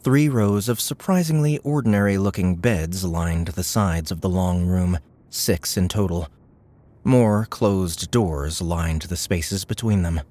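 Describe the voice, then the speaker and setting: A man's voice: low voice, a little slow, mellow. A man reading scripted fiction as an audiobook narrator.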